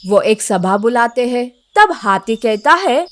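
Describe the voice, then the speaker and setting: A woman's voice: on the high side, very animated, slow, fairly bright tone. A woman narrating a scripted children's story as a storyteller.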